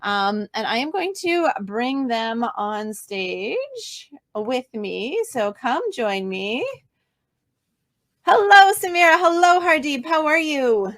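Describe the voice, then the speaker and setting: A woman's voice: high-pitched, big pitch swings, slow, a bit bright. A woman hosting an online panel, enthusiastic and chatty with lots of fillers, on a video call. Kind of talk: upbeat live-event hosting.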